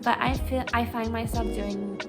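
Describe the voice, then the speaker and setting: A woman's voice: fairly high pitch, fairly even intonation, moderate pace, over loud music. A woman from Singapore in her twenties, candidly sharing her personal story in a hesitant, um-filled vlog, reading from talking points on her phone. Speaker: vlogger, psychology grad student.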